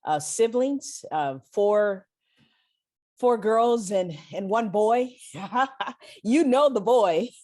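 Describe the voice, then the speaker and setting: A woman's voice: mid-range voice, lively intonation, extremely slow, warm tone. An American woman from Los Angeles speaking as an interview guest in a casual, informal, emphatic way. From Los Angeles, United States. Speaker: interview guest, professor and author.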